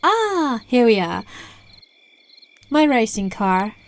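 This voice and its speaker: Woman acting as a seductive witch, ASMR roleplay, high-pitched, unhurried, teasing, controlling, coaxing, phone-mic recording. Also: very animated, full character voice. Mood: smug. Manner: slow, coaxing, breaking into whispers and giggles. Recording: phone microphone recording, low quality.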